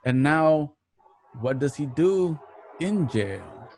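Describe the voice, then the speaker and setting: A man's voice: fairly high pitch, very animated, slow pace, a little mellow, a little hiss or hum. A man hosting a show, delivering a scripted introduction in a presenterly, announcing manner. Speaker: show host. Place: at home.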